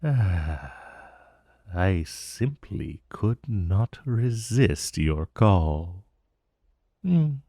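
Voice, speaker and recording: An ancient, urbane dragon, acted by a man in a fantasy audio roleplay. He drawls extremely slowly in a low voice that swoops dramatically, sounding amused and vaguely menacing. The sound is dry and intimate. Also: big pitch swings, warm tone, no echo, exaggerated voice. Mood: amused, impressed. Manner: theatrical, drawling, savoring words, amused. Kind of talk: fantasy roleplay ASMR monologue.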